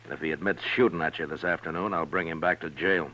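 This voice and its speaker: An American actor playing a calm, steady Old West marshal with measured, dryly humorous delivery in a 1950s radio western. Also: male voice, extremely low pitch, heavily acted. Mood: serious, concerned. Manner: measured, laconic, dry. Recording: dull vintage radio recording, music behind.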